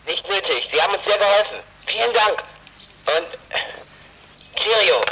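An actor playing an urgent caller who sends clipped messages over CB radio in a children's audio drama. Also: male voice, high voice, animated, moderate pace, bright, forceful tone, slightly rough, exaggerated voice. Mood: relieved, polite. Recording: noisy, crackling CB radio transmission.

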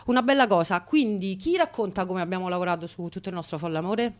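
A woman's voice: lowish voice, fast. Playful, chatty woman co-hosting radio. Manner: playful, repeats phrases. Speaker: radio co-host and theatre activist. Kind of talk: casual chatty live introduction.

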